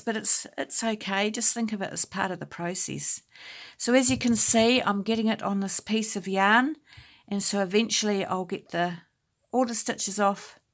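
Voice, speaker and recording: Slightly croaky woman explaining knitting calmly. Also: mid-range voice. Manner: chatty, unhurried, homely explaining. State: slightly croaky voice from talking a lot. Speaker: knitting tutorial host. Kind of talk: casual step-by-step craft tutorial. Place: indoors at home.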